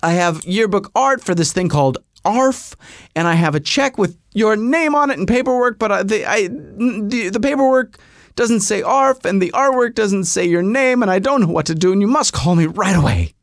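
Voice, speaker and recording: A flustered school office woman rattles off a pleading, run-on voicemail in a raised, anxious voice, as a man puts her on. Her pitch climbs well above a normal speaking voice and she sounds urgent. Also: animated, moderate pace, no room sound, clean studio sound, close to an everyday voice.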